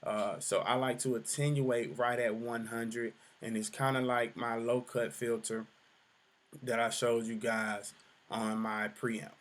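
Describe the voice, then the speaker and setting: A young adult man with a deep voice giving a relaxed music production tutorial, full of fillers. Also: a little slow. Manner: relaxed explaining, many fillers. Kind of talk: screen-share tutorial explanation.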